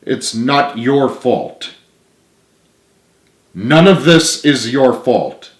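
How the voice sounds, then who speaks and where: A man's voice: mid-range voice, animated, very slow. A man voicing an earnest, remorseful, loyal male friend in a storybook reading, emphatic and pleading. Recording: roomy, echoing room sound.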